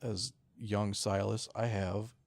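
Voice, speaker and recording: A man's voice: on the low side, little pitch movement, slow, creaky, dry recording. A man talking casually as a podcast co-host, informal and given to swearing. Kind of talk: casual chat.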